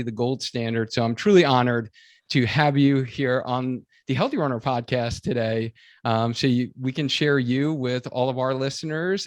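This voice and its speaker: Guy hosting a podcast, casual and enthusiastic, lots of filler words, normal pitch, dry sound. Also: no room sound. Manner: halting, peppered with "like" and "you know". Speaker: podcast host. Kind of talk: casual interview chat.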